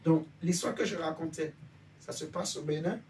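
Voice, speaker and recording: A man's voice: on the high side, moderate pace, darkish tone. A man from Benin preaching informally to a congregation, talking conversationally and often checking that his listeners follow him. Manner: conversational, asks listeners for agreement. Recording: distant mic with faint background noise.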